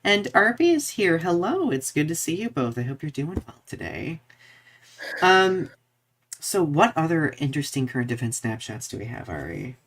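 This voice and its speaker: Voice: mid-range voice, very animated, moderate pace, fairly bright tone, a little hiss or hum. A podcast co-host chatting through current events on a live show in a conversational, informal way.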